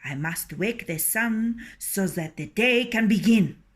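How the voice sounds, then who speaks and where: A woman voicing a proud, bossy male rooster in a storybook reading, loud and scornful. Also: mid-range voice, animated, slow pace. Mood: pompous.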